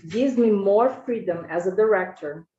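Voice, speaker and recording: A woman's voice: mid-range voice, normal speed, mellow. A woman from Brazil with a Brazilian Portuguese accent, testifying informally and earnestly as a school food service director. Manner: informal, self-correcting, earnest. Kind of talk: committee testimony. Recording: echoey, muffled hearing room audio.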